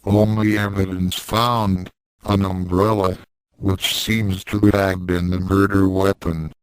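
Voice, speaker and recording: A man's voice: deep voice, level tone, slow pace, noisy background. A man voicing a sleepy, grumbling young man in storybook dialogue. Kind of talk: storybook dialogue read aloud.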